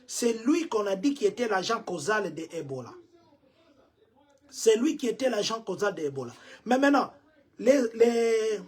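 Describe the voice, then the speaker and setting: A man's voice: high voice, husky. A man from Congo with a Congolese French accent, giving preachy commentary to his community and repeating himself with rhetorical questions. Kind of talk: vlog commentary to the diaspora. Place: Chicago.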